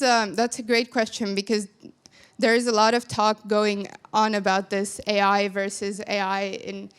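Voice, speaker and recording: A woman's voice: medium pitch, smooth. A young woman from Finland answering audience questions after her conference talk, speaking into a handheld microphone in a large hall.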